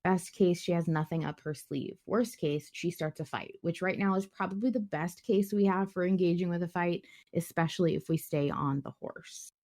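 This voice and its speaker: A woman's voice: on the low side, normal speed, hardly any pauses, a little mellow. A woman hosting a casual podcast discussion, thinking aloud in a conversational way and sometimes reading out comments. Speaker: podcast host.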